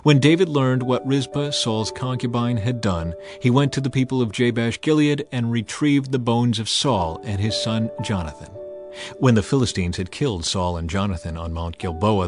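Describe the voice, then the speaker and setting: Solemn man narrating scripture over music. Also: lowish voice. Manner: solemn, measured, emphatic delivery. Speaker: audio Bible narrator. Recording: music bed under narration. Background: background music.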